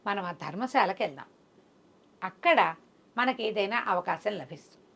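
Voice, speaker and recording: A woman's voice: mid-range voice, expressive, moderate pace, gravelly, fairly bright tone, slightly rough, heavily acted. A woman storyteller voicing a prince's loyal young male friend in a folk tale, reasoning things out helpfully.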